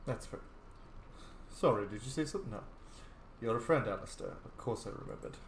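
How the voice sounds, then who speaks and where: A man hosts a casual roleplay gaming session, playful and animated as he answers the characters in his own voice. The room is echoey. A faint hiss runs underneath. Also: on the low side, a little fast. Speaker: let's play host. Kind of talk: casual gameplay commentary with voiced replies.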